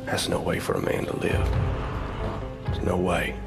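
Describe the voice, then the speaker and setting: A man's voice: measured pace, close to an everyday voice. An actor playing a bitter, hardened ex-convict narrating his story in a video game, world-weary, menacing and coarse. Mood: brooding, sly. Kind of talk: narrated monologue. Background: loud music.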